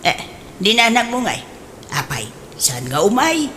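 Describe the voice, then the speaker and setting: A woman's voice: medium pitch, measured pace, slight background noise. A woman playing a gossipy, pious, scolding village aunt in a comedic radio drama, exclamatory, with a Filipino accent. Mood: unhurried, chatty. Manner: expressive, exclamatory.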